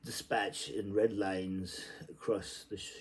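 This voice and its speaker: A man's voice: sits low, little pitch movement, slow pace. A man reading literary prose aloud in a theatrical, playful and exclamatory way. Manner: playful, exclamatory delivery with sudden outbursts. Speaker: reader.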